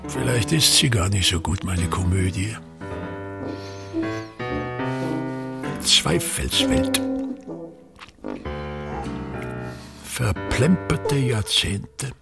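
An actor playing a pompous, embittered, self-pitying touring actor, grumbling and declaiming in a theatrical radio play monologue. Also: male voice, on the low side, sing-song, slow pace, gravelly, loud music behind the voice, exaggerated voice. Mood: brooding.

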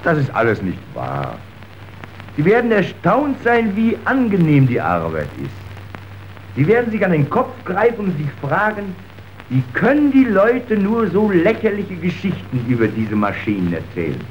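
An actor playing a callous, smooth-talking factory foreman in a radio drama, coaxing and persuasive. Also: sits high, huge pitch swings, normal speed. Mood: falsely reassuring. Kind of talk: scripted radio drama acting. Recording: dull, faintly hissy old radio recording.

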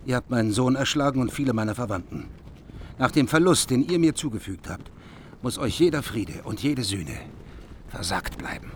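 An actor playing a grieving, implacable king in a German radio drama, stern and deliberate. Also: mid-range voice, expressive, a little hiss or hum, lightly acted. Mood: bitter, stern. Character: grieving, implacable king Etzel refusing all mercy.

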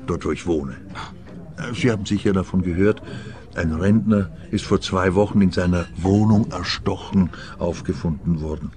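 Radio-drama client, male actor as vain older man, lowish voice, genteel, measured, evasive. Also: a little mellow, natural voice. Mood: worried, explaining. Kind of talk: radio play dialogue.

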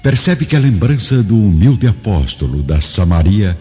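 A man's voice: on the low side, big pitch swings, moderate pace, dark tone, slightly rough. A man narrating a radio drama from a script in a solemn, literary, dramatic style. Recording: dull lo-fi radio drama audio. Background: background music.

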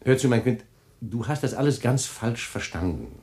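A man's voice: medium pitch, animated, moderate pace, close to an everyday voice. A man playing a rattled, defensive husband. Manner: smooth, then flustered and shouting on the phone. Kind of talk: radio play acting.

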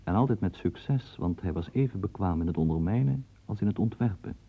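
A man narrating a historical radio program in a measured, ironic storytelling manner. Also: sits low, lively intonation, warm tone, husky. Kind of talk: scripted documentary narration. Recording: tinny phone-like old broadcast.

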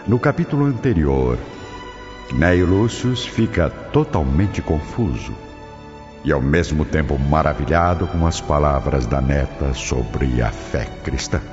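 A man's voice: very deep voice, expressive, unhurried. A man narrating a radio drama in a solemn, theatrical style. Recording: long reverb, dull audio, music behind.

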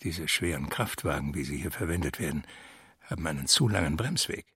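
A composed gentleman companion in a radio play, speaking low with a strongly husky, rough-edged voice. He explains things in a matter-of-fact, pedantic way, recorded dry and close. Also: lively intonation, a little fast, no room sound, full character voice. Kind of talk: literary radio drama dialogue.

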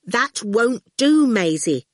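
A sensible young storybook woman, voiced by a female narrator, slightly raised in pitch. She talks firmly and sternly, close and dry. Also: big pitch swings, moderate pace, dry recording. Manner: firm, no-nonsense.

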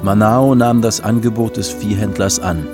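A man giving measured, solemn narration for a scripted radio drama. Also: fairly low pitch, steady tone, over music.

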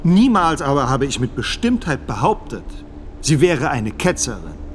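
An actor playing a careful middle-aged theology professor giving formal, measured testimony in a radio drama. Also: male voice, mid-range voice, sing-song, a little hiss or hum, natural voice. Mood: defensive, deliberate. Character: guarded, careful 56-year-old theology professor testifying as witness.

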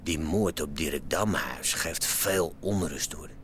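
An actor playing a grave underworld man in a radio drama, speaking in a measured, ominous way. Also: extremely low pitch, a bit bright, a little hiss or hum, heavily acted. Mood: grave, warning.

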